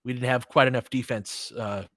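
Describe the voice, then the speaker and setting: A man with a medium-pitched voice hosts live basketball play-by-play. He talks in casual, slangy fan language, often in short clipped phrases. The sound is dry, with no room echo. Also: fairly even intonation, normal speed, a bit bright. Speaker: play-by-play host of a fan stream.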